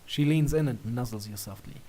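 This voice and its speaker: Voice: mid-range voice, fairly quick, mellow, rough recording. A narrator reading a scripted story aloud.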